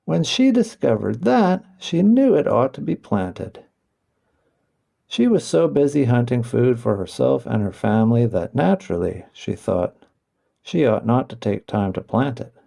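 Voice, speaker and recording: Gravelly man reading a children's storybook. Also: medium pitch, sing-song, unhurried, dark tone. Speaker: audiobook narrator. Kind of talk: scripted children's storybook narration.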